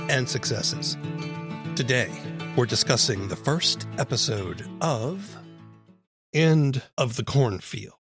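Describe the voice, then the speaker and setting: Male podcast host over faint music. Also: medium pitch. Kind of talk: scripted narration and ad read.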